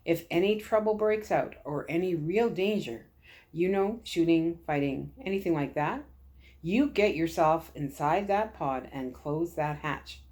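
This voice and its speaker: A woman voicing a calm, kindly military lieutenant in a story, gentle and earnest. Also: sits low, unhurried. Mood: hushed, serious.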